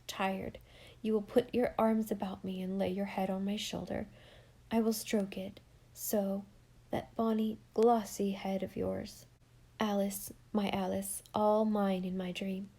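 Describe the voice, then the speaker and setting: A shy, awkward older man pours out his heart, pleading and impassioned, voiced by a woman reading aloud. The voice is mellow and warm, at an ordinary middle pitch. It is a storybook reading, not a full character voice. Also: little pitch movement, a little slow. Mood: tender, dreamy.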